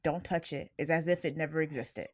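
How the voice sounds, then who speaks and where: Woman hosting a podcast, a bit low-pitched, upbeat and chatty, laughs a lot. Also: fairly even intonation, a little fast, no room sound, studio quality. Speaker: podcast host. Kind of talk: casual interview chat.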